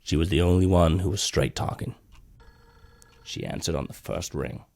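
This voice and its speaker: A man voicing a cynical, cold, hard-boiled cop in a brooding, terse noir story narration, with a low, gravelly voice. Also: normal speed, a little mellow. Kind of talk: noir first-person scripted narration. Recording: music bed behind the voice.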